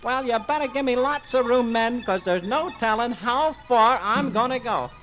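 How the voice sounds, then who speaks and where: Guy doing a little kid from a storybook, high voice, hapless n meek, kinda sighing and folksy. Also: lively intonation, moderate pace, over music, poor audio. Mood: eager, nervous. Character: small hapless Indian boy, meek and self-pitying.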